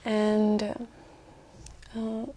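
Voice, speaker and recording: Mellow-voiced young woman. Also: medium pitch, completely flat delivery, drawn-out words. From Brazil. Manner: hesitant, with many fillers and soft laughs. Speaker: exam candidate.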